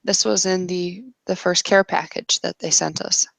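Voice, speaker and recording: A woman playing a guarded, regretful young woman in a tabletop roleplay, confiding haltingly. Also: fairly low pitch, steady tone, gravelly, fairly bright tone, no room sound. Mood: wistful, confessional. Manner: halting, hesitant confessional delivery.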